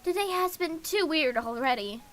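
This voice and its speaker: A woman's voice: high voice, animated, fairly bright tone, soft background music. A woman streamer reading a visual novel aloud with casual asides, stumbling and correcting herself as she goes. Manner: halting reading with slips and self-corrections. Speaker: game streamer.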